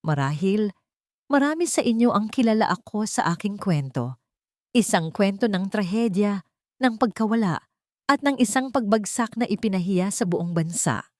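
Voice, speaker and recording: A woman's voice: medium pitch, animated, moderate pace, darkish tone, no room sound, clean studio sound, close to an everyday voice. A woman audiobook narrator with a Filipino accent voicing a determined, hopeful woman leader, in an earnest, formal, declamatory manner. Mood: earnest, resolute. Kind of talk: audiobook character speech.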